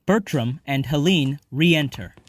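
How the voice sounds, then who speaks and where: A man's voice: on the high side, slow, dry recording. A man narrating scripted stage directions for an audio drama.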